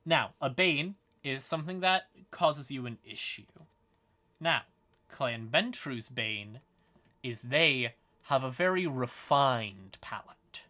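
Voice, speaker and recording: A man's voice: mid-range voice, expressive, slow, sharp tone. A man hosting a livestream, explaining fantasy lore in a casual, informal manner with some swearing. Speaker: live streamer and host.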